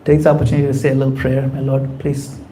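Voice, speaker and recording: A man's voice: medium pitch, monotone, racing, mellow, a little hiss or hum, low-quality recording. A man giving a conversational temple class, acting out quoted voices as he explains. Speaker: spiritual teacher. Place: temple hall.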